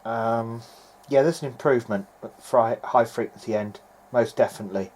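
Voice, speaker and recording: A man's voice: mid-range voice, normal speed, a little mellow. A man giving an informal, hesitant video-diary narration as he tests equipment, thinking aloud in a noisy amateur recording. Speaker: hobbyist electronics repairer.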